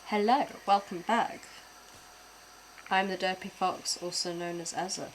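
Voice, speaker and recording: A woman's voice: on the low side, fairly even intonation, a little slow, a little hiss or hum, poor audio. A young British woman chatting casually while reacting to videos on a stream, laughing often and swearing offhand. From Britain.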